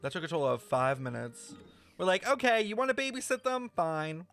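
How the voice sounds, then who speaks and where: Animated, higher-pitched young male streamer. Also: brisk, creaky, faint music behind. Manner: expressive, slangy, thinks aloud. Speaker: streamer reacting to a game. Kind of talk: casual reaction commentary.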